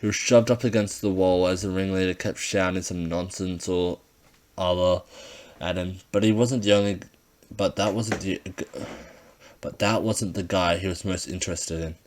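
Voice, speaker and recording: A man's voice: low voice, fairly even intonation, moderate pace. A man reading scripted fan fiction as an amateur audiobook narrator.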